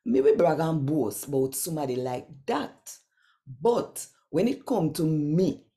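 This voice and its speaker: Low, mellow Jamaican woman reading devotionally. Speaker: host and scripture reader. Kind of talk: devotional scripture reading in conversational Patois.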